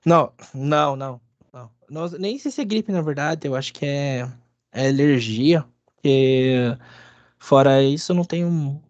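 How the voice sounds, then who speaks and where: Man, stuffy from a cold, instructor, halting delivery, dull dry desk recording. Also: medium pitch, darkish tone, dry recording. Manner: halting, many restarts and fillers. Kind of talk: live coding lesson.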